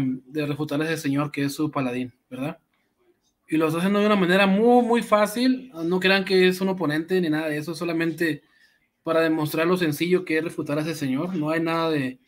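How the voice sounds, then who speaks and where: A man co-hosting a live stream, mocking an opponent in an animated way, with lots of fillers. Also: on the high side.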